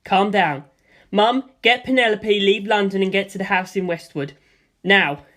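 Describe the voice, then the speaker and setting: A narrator voicing a brash, earnest young male fighter pilot in an excitable storybook reading. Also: medium pitch, measured pace, lightly acted. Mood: urgent.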